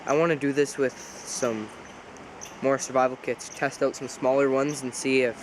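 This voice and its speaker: A man's voice: mid-range voice, normal speed, a little hiss or hum. Congested man rambling outdoors. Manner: relaxed, rambling, self-correcting. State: congested with a cold. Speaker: outdoor survival vlogger. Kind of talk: casual outdoor demonstration. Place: outdoors by a pond.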